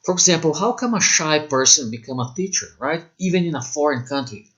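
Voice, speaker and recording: Man teaching, halting speech. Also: fairly high pitch, expressive, a bit bright. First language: Portuguese. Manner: halting non-native English with many fillers. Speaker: English teacher. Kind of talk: online course talk.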